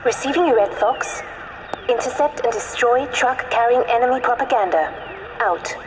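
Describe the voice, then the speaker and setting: An actress playing a clipped, businesslike military radio operator over a radio in a video game. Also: female voice, fairly high pitch, moderate pace. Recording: noisy echoing radio transmission.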